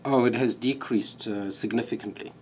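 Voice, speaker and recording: A man from South Africa, a diplomat, giving measured, courteous answers as a guest. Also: medium pitch, fairly even intonation, darkish tone, slight background noise. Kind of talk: interview answers.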